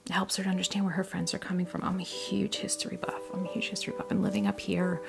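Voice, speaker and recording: An American woman speaking in a soft, breathy near-whisper, chatting casually and hesitantly in an ASMR style close to a sensitive microphone. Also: medium pitch, little pitch movement, fast talker, music in the background. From United States. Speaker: ASMR video host. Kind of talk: ASMR, casual unboxing chat.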